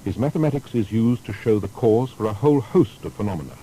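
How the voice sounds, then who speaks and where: A man narrating a scripted historical documentary in a formal storytelling manner with deliberate emphasis. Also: lively intonation, dark tone. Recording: faint background noise with rumble.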